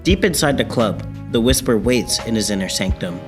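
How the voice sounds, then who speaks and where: A man's voice: on the low side, lively intonation, moderate pace, natural voice. A man playing a solemn old-time radio announcer, narrating a mystery serial in a theatrical, ominous manner. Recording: music bed behind the voice.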